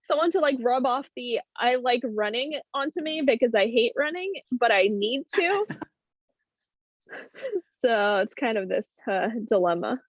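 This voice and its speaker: A woman's voice: a little high, lively intonation, unhurried, dry recording. A woman hosting a show, chatting casually and laughing easily with her guest on a video call. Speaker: show host. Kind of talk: casual chat. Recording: dull, dry video call audio.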